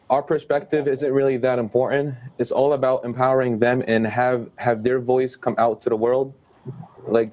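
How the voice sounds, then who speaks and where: A man's voice: mid-range voice, dark tone, muffled recording. A young man, a student guest, answering earnestly and repeating words as he searches for them. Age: young adult. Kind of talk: casual interview answers.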